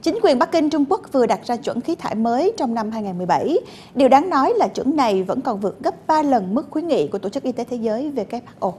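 A young woman anchoring in a TV studio, introducing stories in a lively, expressive way. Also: a little high, fast, slightly rough. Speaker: news anchor. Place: TV news studio.

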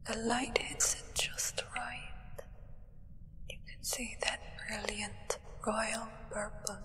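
Slow whispering woman, ASMR roleplay. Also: fairly high pitch, level tone, big-room sound. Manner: formal, deliberate, hushed. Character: solemn, devoted female acolyte of a vampire sovereign. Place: indoors, small draped set.

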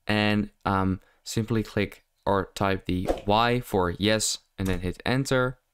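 A man giving a casual software tutorial voice-over in a loosely improvised, hesitant way, close to the microphone. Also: on the low side, fairly even intonation, slow, smooth, no room sound. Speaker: tutorial host. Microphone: close mic, screen-recording voice-over.

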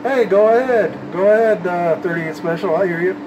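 Casting: male CB radio hobbyist, slightly high voice, folksy and casual, recorded amid heavy equipment noise. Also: normal speed, highs cut off. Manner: folksy, CB slang, calls people "man". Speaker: CB radio operator and vlogger. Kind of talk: casual radio chat and commentary. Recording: loud vehicle and fan noise.

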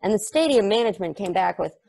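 A man reading an excitable, chatty space probe in a table read over a video call, rambling off into tangents. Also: high-pitched, fast talker, creaky, exaggerated voice. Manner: enthusiastic, rambling, tangent-prone.

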